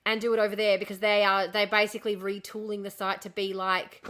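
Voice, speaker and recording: A woman's voice: medium pitch, little pitch movement, a little fast, fairly bright tone. A woman hosting a casual livestream, losing her voice from an illness, rambling, swearing often and answering chat comments. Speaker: livestream host.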